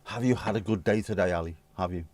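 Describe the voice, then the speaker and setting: A hoarse man with a British accent, chatting casually with viewers on his livestream and swearing bluntly. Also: deep voice, fast, a little mellow. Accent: British English. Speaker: livestream host. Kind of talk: casual chat reading viewer comments.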